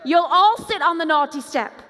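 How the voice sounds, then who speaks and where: Woman, pastor, high voice, echoing hall, lively sermon. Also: normal speed. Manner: animated preacher, acts out quoted voices, moves around the stage. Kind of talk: conference sermon. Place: large dark stage with hall reverb. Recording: long hall reverb.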